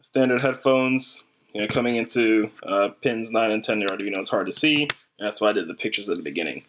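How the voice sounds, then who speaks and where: A young adult man with a fairly low voice talks through a hands-on demonstration. His delivery is informal and slightly hesitant, like a casual tutorial. Faint noise and small clicks sit behind him in an indoor desk recording. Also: brisk, a bit bright, low-quality recording. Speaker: hobbyist electronics demonstrator.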